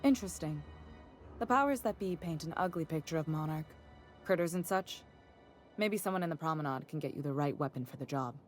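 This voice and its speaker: A confident female space station chief from a video game, acted by a woman, with a lowish voice. She is plainspoken and firm yet cordial, with faint music underneath. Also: natural voice. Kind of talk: video game character acting.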